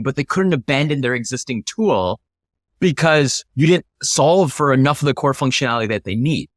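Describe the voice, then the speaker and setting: Higher-voiced man explaining in an interview. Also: no room sound, clean studio sound. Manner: emphatic, instructive, illustrates points with acted-out example questions. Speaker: interview guest, startup founder.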